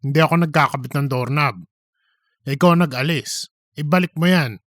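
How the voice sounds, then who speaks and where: A woman's voice: sits low, moderate pace, lightly acted. A woman voicing male plant workers caught in an emergency, in audiobook character dialogue. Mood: annoyed.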